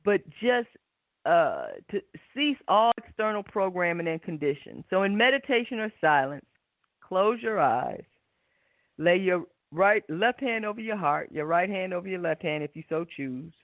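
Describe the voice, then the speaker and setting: A woman hosting a spiritual talk and guided meditation, speaking in an unhurried, reflective way and often checking for agreement. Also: fairly low pitch, animated, no room sound. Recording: tinny narrow phone-like line.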